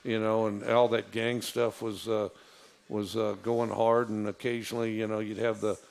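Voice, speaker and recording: A man with a low voice, telling stories in a casual, rambling way, with many fillers and restarts. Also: flat delivery, normal speed, gravelly. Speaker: football coach. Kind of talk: casual storytelling.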